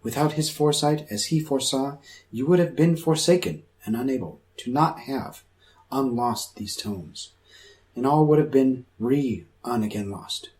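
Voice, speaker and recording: A man's voice: medium pitch, a little slow, a little mellow, natural voice. A man playing an omnipotent, quirky supercomputer in a comic radio drama, speaking in pompous, convoluted and oddly formal sentences. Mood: pompous, instructive.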